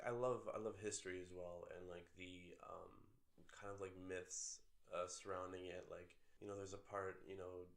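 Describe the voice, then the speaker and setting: A young man co-hosting a casual podcast chat, sick with a cold and rambling with many filler words. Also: deep voice. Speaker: podcast co-host.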